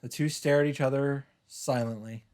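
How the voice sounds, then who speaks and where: Male streamer, mid-pitched, narrating visual novel text, informal asides. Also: fairly even intonation, normal speed, a little mellow. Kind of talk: live read-aloud narration with casual asides.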